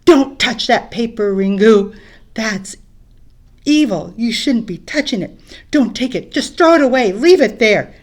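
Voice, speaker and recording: An eager younger boy, put on by a woman storyteller, who calls out high and loud and keeps repeating himself. He hurries and pleads, sounding urgent in every line. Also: slow, hardly any pauses. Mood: fearful pleading.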